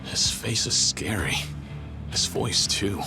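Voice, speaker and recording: A stoic young yakuza brawler in a game dub, with a very deep, low-pitched voice. He speaks in dry, clipped, understated lines. Game music plays clearly behind him. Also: animated, takes it slowly, exaggerated voice. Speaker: game character. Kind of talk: English video game dub. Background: game soundtrack music.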